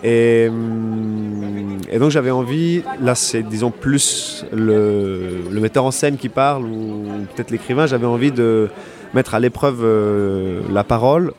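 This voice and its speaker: A man, an actor and director who grew up bilingual in French and Italian, talking reflectively in an interview with many pauses and fillers. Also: on the low side, darkish tone, soft background music.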